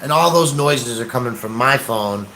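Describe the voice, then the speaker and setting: An American man rambling casually on a livestream, hesitant and pausing often as he talks. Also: medium pitch, noisy background. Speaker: livestream host, activist.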